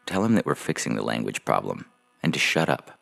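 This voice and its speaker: Guy playing a slick cold-hearted sales guy in an audio story, super deep n gravelly. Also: big pitch swings, brisk, fairly bright tone, exaggerated voice. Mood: curt. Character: slick, callous salesman at an adoption agency. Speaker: voice actor reading a fiction podcast story. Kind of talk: dramatized short story reading. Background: music under the voice.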